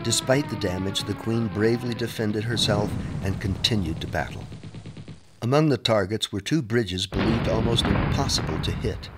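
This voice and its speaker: A man's voice: lowish voice, heavy background noise. A man reading scripted historical narration as a documentary narrator. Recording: music bed under the voice. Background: music.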